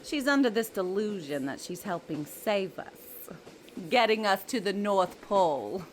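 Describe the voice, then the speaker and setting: An actress playing a smug, villainous magical creature in an audio drama, with a mocking, silky, taunting manner. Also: female voice, mid-range voice, animated, measured pace, slight background noise, lightly acted.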